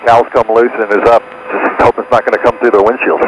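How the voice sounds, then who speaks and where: A man speaking as a pilot over aviation radio, in brief, halting calls to air traffic control. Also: mid-range voice, little pitch movement. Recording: noisy aircraft radio transmission. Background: engine noise and radio static.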